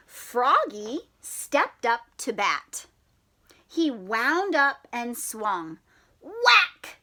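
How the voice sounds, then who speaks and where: A woman reading a children's picture book aloud like a teacher, expressive with drawn-out words and playful questions to her young listeners. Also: on the high side. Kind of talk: animated storybook read-aloud with questions to children.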